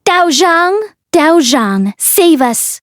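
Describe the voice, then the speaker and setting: A woman's voice: sits high, lively intonation, extremely slow, dry recording, clean studio sound, voice pushed up, full character voice. A woman voicing a cowardly male landlord in an audiobook, pleading and repeating himself in panic. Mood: panicked, pleading. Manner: pleading, stammering repeated denials.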